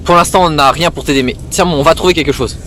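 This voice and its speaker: Amateur survival film: earnest male companion played by a man, high-pitched, brisk delivery. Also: fairly bright tone, slight background noise, natural voice. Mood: reassuring.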